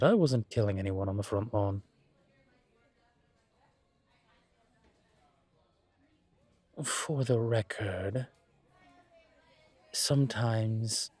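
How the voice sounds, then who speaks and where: A man playing a composed, wry incubus investigator in a scripted roleplay audio drama, speaking in a measured, deliberate, conversational way. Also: on the low side, no echo. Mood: wry, defensive. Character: composed, wry male incubus demon investigator, politely self-assured.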